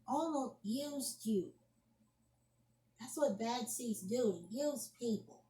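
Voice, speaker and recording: An indignant young woman, acted by a woman in a homemade solo drama, with a fairly high voice. She scolds down the phone, hammering points home by repeating them. The room around her rings with a noticeable echo. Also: slow pace, warm tone. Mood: emphatic, reproachful. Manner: scolding, emphatic, repeats phrases. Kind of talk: amateur solo acted monologue, one side of a phone argument. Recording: roomy echoing home recording.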